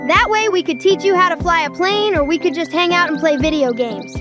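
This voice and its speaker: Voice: very high-pitched, a little fast, music in the background. An actor voicing an upbeat, polite and talkative child hero in a cartoon comic dub. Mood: friendly, eager. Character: chatty, upbeat, polite plucky kid hero.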